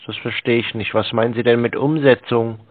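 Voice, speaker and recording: A man's voice: medium pitch, steady tone, a little fast. A man on a phone call, speaking as a pedantic, questioning customer who plays clueless. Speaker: scam-baiter posing as a customer on a call. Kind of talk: phone conversation. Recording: muffled narrow phone-like sound, dry.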